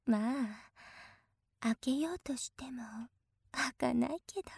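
A woman's voice: high voice, slow, gravelly, a little mellow, breathy edge, dry recording, studio quality, exaggerated voice. A woman playing an obsessive, possessive big sister in a voice drama, coaxing sweetly with an unhinged edge. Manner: clingy, coaxing, slipping into intense whispers. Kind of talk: Japanese situation voice drama acting.

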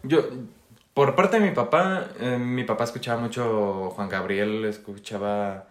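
A man's voice: lowish voice, unhurried. A young man from Sinaloa with a northern Mexican accent, co-hosting a casual chat among friends, slangy, laughing and restarting his sentences. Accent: northern Mexican Spanish. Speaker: podcast co-host. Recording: echoey room.

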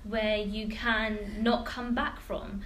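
A woman's voice: a little high, little pitch movement, takes it slowly, a crowd in the background. A woman in casual podcast chat, talking in a reflective, questioning way. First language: Urdu.

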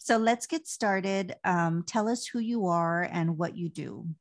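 A woman's voice: on the low side, measured pace. A woman hosting an interview, friendly and affirming, with frequent short encouragements. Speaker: podcast host.

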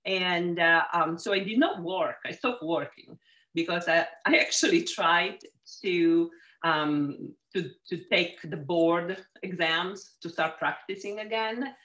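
A woman with an Italian accent and a somewhat low voice tells her story in a relaxed interview. She often restarts her sentences while she talks. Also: takes it slowly, poor audio. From Sicily, Italy. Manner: warm storytelling with frequent restarts. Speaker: real estate agent, guest. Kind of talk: casual interview chat.

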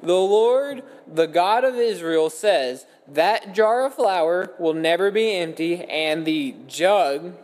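Slow, high-voiced man reading in an echoey hall. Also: animated, a little mellow. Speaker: scripture reader. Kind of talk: scripted dramatic Bible story reading. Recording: echoey hall with long reverb.

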